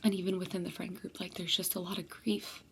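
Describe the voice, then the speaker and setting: A young woman whispers a chatty storytime straight to her viewers, filling it with likes and ums. Her low voice breaks into a gravelly fry, and a faint hiss of noise sits underneath. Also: little pitch movement, a little fast, fairly bright tone. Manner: chatty, many fillers. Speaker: vlogger.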